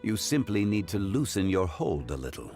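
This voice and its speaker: An actor playing a calm, wise, ancient man who speaks in a measured, formal and philosophical way in a game cutscene. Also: fairly low pitch, soft background music. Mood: calm, sage.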